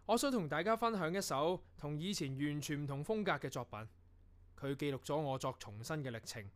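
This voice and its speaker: A man's voice: fairly high pitch, expressive, a little fast, close to an everyday voice. A man acting in a Cantonese radio drama as a young, reflective composer, with a Hong Kong accent and an earnest, literary delivery. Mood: grateful, formal. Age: young adult. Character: young male avant-garde composer, reflective and restlessly inspired.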